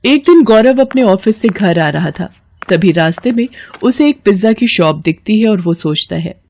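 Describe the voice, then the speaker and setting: A woman's voice: medium pitch, animated, moderate pace, a little mellow. A woman storyteller reading scripted narration for a moral bedtime story.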